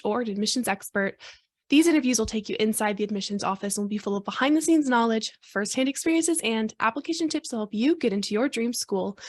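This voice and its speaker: A woman hosting an interview podcast, her voice sitting a little high. She moves from a read-aloud opening into friendly conversation with her guests. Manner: friendly, scripted intro then conversational. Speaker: podcast host.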